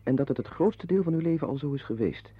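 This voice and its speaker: A man's voice: on the high side, expressive, quick pace, breathy edge, no room sound. An actor playing a wry, brooding henpecked husband in a Dutch radio play, confiding his musings to the listener. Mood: wry, weary. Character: wry, brooding henpecked husband, animal-loving former artist. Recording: muffled, band-limited old radio play recording.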